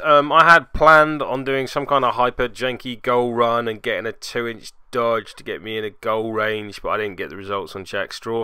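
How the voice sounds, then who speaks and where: Guy doing casual tabletop game commentary, self-deprecating, bright voice, really dry recording. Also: medium pitch, clean tone, dry recording. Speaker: host narrating a tabletop game battle report.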